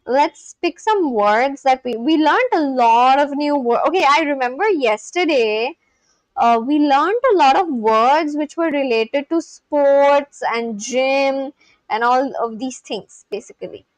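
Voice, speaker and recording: Young woman, Indian English accent, high-pitched, rambling, casual streamer chat, dry close sound. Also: lively intonation, a little slow, no echo, poor audio. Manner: chatty, rambling, many fillers and restarts. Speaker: livestream host.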